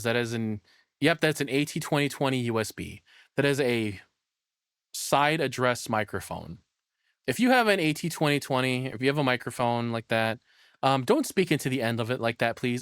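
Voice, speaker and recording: Man, casual streamer commentary, medium pitch, dry sound, rambling, opinionated, sweary. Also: fairly bright tone, smooth, dry recording. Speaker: streamer reacting to a video.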